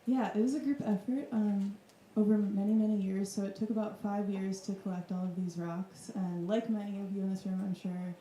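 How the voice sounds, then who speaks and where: A woman's voice: mid-range voice, steady tone, fairly quick, hardly any pauses, mellow, a little hiss or hum. A woman in her thirties from Wisconsin, talking thoughtfully about her art practice in front of an audience. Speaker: artist guest. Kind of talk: casual reflective talk. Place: club room with audience. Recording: echoey room.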